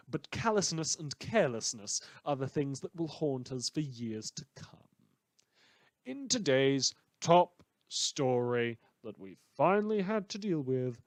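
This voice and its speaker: A man playing a cynical, misanthropic radio host in a post-apocalyptic fan audio drama, speaking sardonically with dramatic, halting pauses. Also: mid-range voice, no room sound, close to an everyday voice. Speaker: fictional radio show host.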